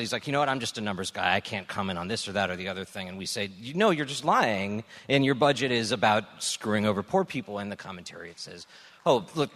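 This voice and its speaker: Male political panelist, medium pitch with lively swings, wry and exasperated, live hall reverb. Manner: wry, exasperated, acts out mock quotes. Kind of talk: animated political rant on a panel. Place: stage in a live venue. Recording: echoey hall live recording.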